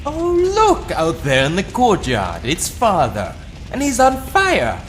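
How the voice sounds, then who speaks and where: A man's voice: high voice, huge pitch swings, slow pace, a little hiss or hum, exaggerated voice. A man playing a spoiled, lazy young prince in an audio drama, with an airy, mock-dramatic manner. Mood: flippant. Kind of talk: fan audio drama acting.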